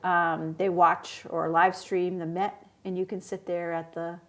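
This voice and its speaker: A woman's voice: on the low side, flat delivery, unhurried. Friendly woman hosting a library chat. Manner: friendly, encouraging, many fillers. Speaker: host of library interview show. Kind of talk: casual interview.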